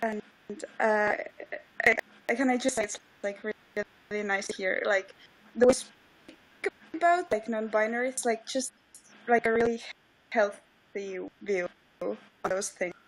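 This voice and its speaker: A woman with an Estonian accent joins by video call and speaks halting English with many fillers. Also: medium pitch, low-quality recording. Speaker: livestream guest. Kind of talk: casual video call chat.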